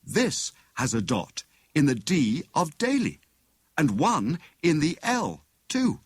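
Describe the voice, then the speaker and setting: A man voicing a clever, excitable married woman who plays amateur detective, with a brisk, knowing manner. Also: on the high side, breathy edge, dry recording, pitched above the performer's own voice, heavily acted. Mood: excited, intent.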